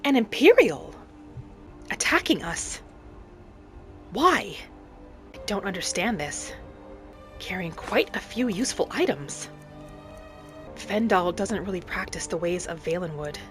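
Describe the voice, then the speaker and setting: A woman playing a gentle, earnest female wood-elf adventurer, musing aloud as she narrates a roleplay game in character. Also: on the low side, animated, measured pace, long pauses, over music. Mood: thoughtful.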